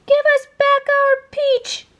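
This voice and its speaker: A woman's voice: monotone, takes it slowly, fairly bright tone, heavily acted. A woman voicing a cruel, mocking evil aunt who taunts in a storybook. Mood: taunting. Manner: shrill, mocking.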